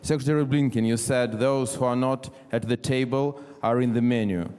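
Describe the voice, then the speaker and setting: A man's voice: medium pitch, steady tone, normal speed, a little mellow, smooth. A man from Ukraine asking a panel a direct, firm question. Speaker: audience member asking a question. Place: large conference hall. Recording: long hall reverb.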